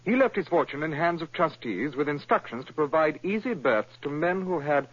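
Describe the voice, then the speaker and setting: A fawning young shop assistant. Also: male voice, on the high side, lively intonation, normal speed, a bit bright. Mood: coaxing. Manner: eager, deferential, stammering. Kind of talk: old-time radio drama. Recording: dull lo-fi vintage radio recording.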